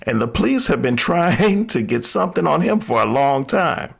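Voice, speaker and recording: A reckless young man from an old-time radio thriller, played by a male actor. His voice is husky and fairly high, and it swings freely as he talks. He is flippant and defiant, a thief in it for the thrill. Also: big pitch swings, moderate pace, heavily acted. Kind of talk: vintage radio drama acting.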